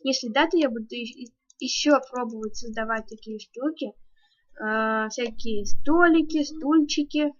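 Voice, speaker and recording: High voiced girl gamer, woman doing a casual build walkthrough, mumbles counts to herself, muffled cheap mic. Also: a little slow. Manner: thinks aloud, counting and muttering steps. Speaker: YouTuber, gaming channel host. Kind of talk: casual game building tutorial commentary. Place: indoors at home, screen-recording voice-over.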